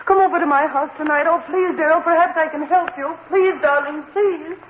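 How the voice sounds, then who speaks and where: Woman acting a high-voiced, anxious, pleading sweetheart. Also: fairly even intonation, brisk, close to an everyday voice. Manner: pleading, breathless endearments. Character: gentle, anxious, devoted young sweetheart of a troubled man. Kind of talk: 1950s radio-style melodrama acting. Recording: muffled, noisy vintage 1950s radio recording.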